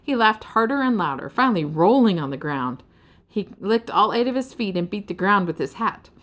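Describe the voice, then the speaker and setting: A woman reading a children's story aloud as an expressive narrator. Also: mid-range voice, moderate pace. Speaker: audiobook reader.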